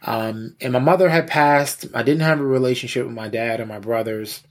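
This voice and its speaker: A man with a husky voice, a pastor and interview guest, giving candid personal testimony in a halting, conversational way with repeated words. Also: medium pitch. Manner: halting, repeats words, frequent "you know".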